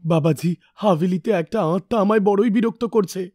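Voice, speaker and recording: Someone playing a cruel cartoon moneylender, mellow dry voice. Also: medium pitch, normal speed, no room sound, lightly acted. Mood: worried. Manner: harsh and scornful, growing scared. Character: cruel, fearful rich moneylender.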